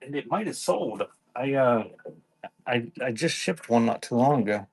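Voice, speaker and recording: A man running a casual live-stream sale, talking in an offhand, halting way and thanking viewers by name. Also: medium pitch, animated, gravelly, slightly rough, low-quality recording. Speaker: live online seller.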